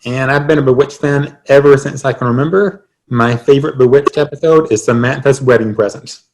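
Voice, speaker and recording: A man from Atlanta, friendly and relaxed as he introduces himself as a fan guest. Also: medium pitch, fairly quick. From Atlanta, USA. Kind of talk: casual self-introduction. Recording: faint background noise.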